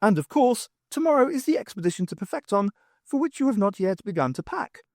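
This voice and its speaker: A man's voice: sits high, big pitch swings, brisk, a little mellow, no room sound, studio quality, pitched above the performer's own voice. A man voicing a hovering robot porter in an audiobook, speaking in a formal, precise, deferential servant's manner. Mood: prim, deferential. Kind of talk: audiobook character voice.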